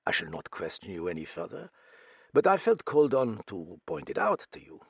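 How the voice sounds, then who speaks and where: Wise, tired older investigator, measured and formal, low and gravelly, read by a man. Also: huge pitch swings, no room sound, clean studio sound, heavily acted. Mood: calm.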